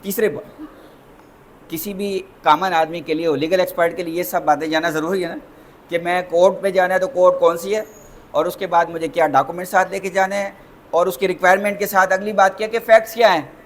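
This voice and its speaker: High-pitched Pakistani man lecturing. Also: fairly even intonation, a little fast, slight background noise. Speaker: lawyer giving a lecture. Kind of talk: lecture to young lawyers, mixing in English phrases.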